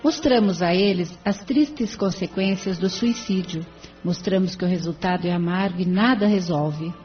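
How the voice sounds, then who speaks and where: A woman's voice: on the low side, moderate pace, lightly acted. A woman playing a devoted spirit helper in an audio novela, explaining in a formal, kindly, didactic way. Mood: earnest. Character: serene, devoted female spirit helper explaining her charity. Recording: dull sound with faint music.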